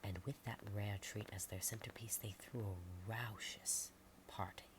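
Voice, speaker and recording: A woman reading a storybook in a soft whisper, adding chatty asides and sometimes stumbling over words. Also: extremely low pitch, steady tone, unhurried, background noise.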